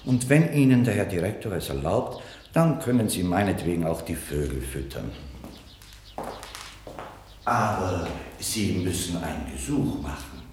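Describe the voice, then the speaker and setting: A gruff prison guard from an old radio drama. His low voice swings widely as he barks out rules and scolds. The recording is echoey, with faint noise. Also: animated, measured pace, darkish tone. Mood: strict, scornful. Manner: barking, officious, scolding. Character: gruff, rule-bound male prison warder. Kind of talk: 1960s German radio play acting. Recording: echoey, slightly noisy 1960s radio recording.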